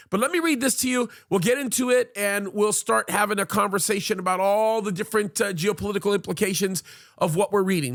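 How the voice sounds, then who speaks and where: A man's voice: high-pitched, creaky, fairly bright tone. A man who is a pastor, reading a news article aloud and stopping to comment in an earnest, emphatic way, with frequent "uh" fillers. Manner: earnest, emphatic, leans hard on key words.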